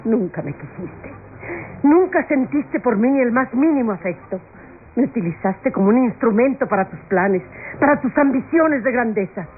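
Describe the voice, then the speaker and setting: An actress playing a wealthy older aunt, wounded and unforgiving, in an emphatic, anguished radio soap style. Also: female voice, on the high side, expressive, moderate pace, natural voice. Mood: anguished reproach. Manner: anguished, emphatic, melodramatic declamation. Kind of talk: classic Latin American radio soap acting. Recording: tinny, noisy old radio recording.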